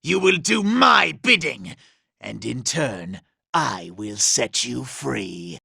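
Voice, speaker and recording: Vain scheming robot villain for a game, super gravelly n sharp, sneery and pompous. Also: male voice, medium pitch, big pitch swings, takes it slowly, no echo, studio quality, full character voice. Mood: sneering contempt. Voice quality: raspy, gravelly. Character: vain, scheming, treacherous robot villain, cowardly under threat. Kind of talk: video game character acting.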